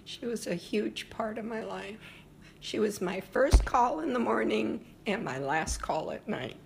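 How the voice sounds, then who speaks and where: An older woman being interviewed about her family history, speaking reflectively and pausing often with fillers. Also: mid-range voice, animated, creaky, background noise. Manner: reflective, hesitant with frequent fillers.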